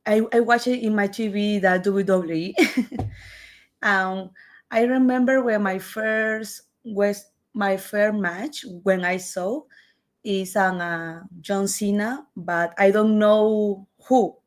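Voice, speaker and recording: A woman in her twenties from Peru with a Peruvian Spanish accent, Spanish-native, answering interview questions haltingly and with frequent laughs. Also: medium pitch, steady tone. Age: 20s. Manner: halting, searching for words, laughs often. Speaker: wrestler guest.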